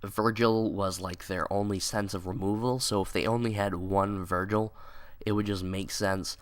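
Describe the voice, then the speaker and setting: A man's voice: lowish voice, steady tone, moderate pace. A young man with a stuffy nose from a cold, giving rambling casual commentary with lots of "like" and "um". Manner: rambling, many "like" and "um" fillers. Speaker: card game video creator.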